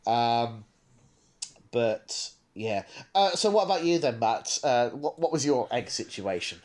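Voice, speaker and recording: A man with a medium-pitched voice chats as a podcast co-host. He talks in a casual, discursive way, working out his thoughts as he goes and slipping in hesitant asides. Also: animated, measured pace.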